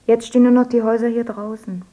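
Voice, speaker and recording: A woman's voice: fairly high pitch, level tone, fast talker, mellow, close to an everyday voice, rough recording. An actress playing a polite, matter-of-fact young woman in a radio play.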